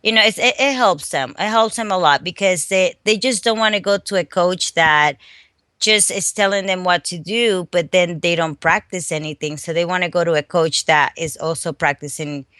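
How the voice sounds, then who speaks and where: A middle-aged woman from the Dominican Republic, a fitness coach speaking haltingly with many restarts in a phone interview. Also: lowish voice, fairly bright tone, dry recording, clean studio sound. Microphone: phone call.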